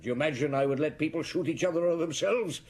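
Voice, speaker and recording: An actor playing a shrewd, world-weary older police detective in a radio drama, measured and dryly ironic. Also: male voice, on the high side, darkish tone, slightly rough. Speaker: police chief inspector.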